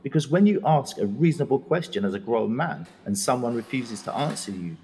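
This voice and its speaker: An actor playing an educated middle-aged prisoner, reflective and indignant, in an articulate, confiding audio drama monologue. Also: male voice, mid-range voice, animated, brisk, mellow, long reverb tail, slight background noise, natural voice. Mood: indignant, weary.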